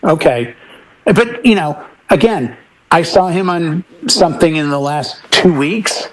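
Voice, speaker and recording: Male DJ panelist, middle-aged, slightly high-pitched, casual anecdote teller who voices the people he quotes. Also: animated, a little slow, low-quality recording. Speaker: DJ and talk show panelist. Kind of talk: casual chat and storytelling.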